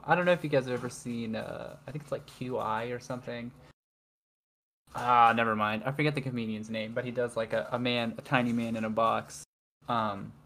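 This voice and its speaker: A man's voice: mid-range voice, a little hiss or hum. A man co-hosting a casual podcast chat, giggling often and trailing off into restarts as he rambles. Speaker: podcast co-host.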